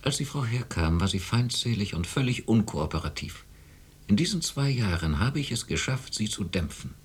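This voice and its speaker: Radio drama chief psychiatrist: lowish husky voice, self-righteous, authoritative, brushes objections aside. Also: male voice, full character voice. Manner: authoritative, dismissive, insistent.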